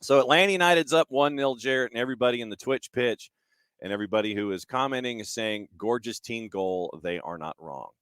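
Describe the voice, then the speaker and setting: A man's voice: medium pitch, lively intonation, a little fast, fairly bright tone, smooth, no echo. A man hosting a sports show, chatting casually and introducing match clips in a self-deprecating way. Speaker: sports talk show host.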